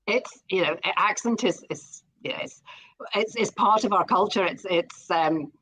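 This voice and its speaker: A woman's voice: mid-range voice, normal speed, fairly bright tone, slightly rough, poor audio. A middle-aged Scottish woman speaking as a guest in a live interview, enthusiastic and often repeating words as she starts a sentence. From Scotland.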